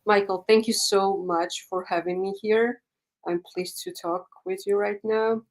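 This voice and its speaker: A woman's voice: mid-range voice, steady tone, measured pace. A woman, answering interview questions as a startup founder in earnest, simple English. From Georgia. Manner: earnest, simple non-native phrasing. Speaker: startup CEO guest.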